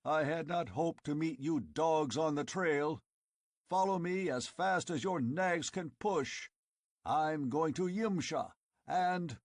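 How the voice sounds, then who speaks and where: A man's voice: sits high, lively intonation, a little slow, no echo, studio quality, voice pushed up, full character voice. A man voicing a blunt, exasperated barbarian warrior who roars his words. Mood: roaring. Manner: bellowing, blunt.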